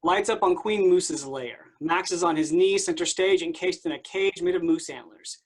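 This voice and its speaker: A man with a slightly high voice narrates a play script. He reads short stage directions for a staged reading. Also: moderate pace, poor audio.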